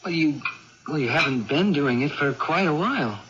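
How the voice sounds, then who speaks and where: An actor playing an earnest, concerned young brother in a radio drama, speaking a little hesitantly. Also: male voice, on the high side, lively intonation, normal speed, close to an everyday voice. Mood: puzzled. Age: young adult. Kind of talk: 1980s radio drama acting. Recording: dull, noisy vintage radio recording.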